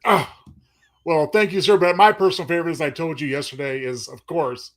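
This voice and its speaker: Higher-voiced man co-hosting casually. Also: steady tone, fairly quick, a bit bright. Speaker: show co-host. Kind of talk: casual livestream chat.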